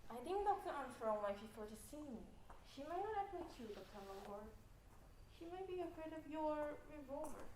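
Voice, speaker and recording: A woman's voice: sits high, big pitch swings, a little fast, a little mellow. A woman playing a stern, watchful, coolly cautioning spinster in an amateur stage play. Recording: echoey student stage recording, faint noise.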